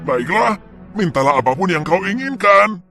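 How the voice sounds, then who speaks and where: A man's voice: high-pitched, very animated, normal speed, bright, forceful tone, soft background music, full character voice. A man as a grand, obliging lamp genie. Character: obliging, powerful male genie of a magic lamp.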